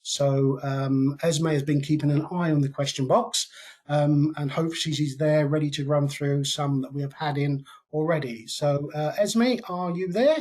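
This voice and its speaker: A British man presenting an informational webinar, with a slightly higher voice than most men. He talks in a loose, conversational way, leaving frequent ums and uhs between points. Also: fairly even intonation, normal speed, a little mellow.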